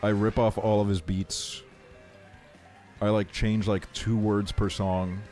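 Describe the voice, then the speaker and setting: A man's voice: lowish voice, flat delivery, slow pace, a little mellow, soft background music. A man from Estonia livestreaming a video game, chatting casually in slangy, sweary talk. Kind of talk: casual chat while streaming a video game.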